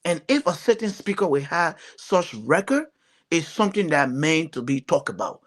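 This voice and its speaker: A man's voice: on the high side, big pitch swings, moderate pace. A man from Liberia with a Liberian accent, giving earnest, emphatic political commentary as a talk show panelist, often repeating phrases.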